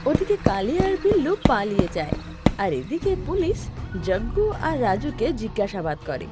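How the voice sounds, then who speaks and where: A woman's voice: a little high, huge pitch swings, normal speed, loud music behind the voice. A woman reading scripted narration for a cartoon story as its narrator.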